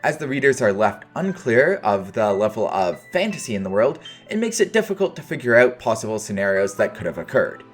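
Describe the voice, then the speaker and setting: A man's voice: mid-range voice, very animated, a little fast, hardly any pauses, faint music behind. A man delivering a scripted video review in casual, opinionated phrasing with dry understatement.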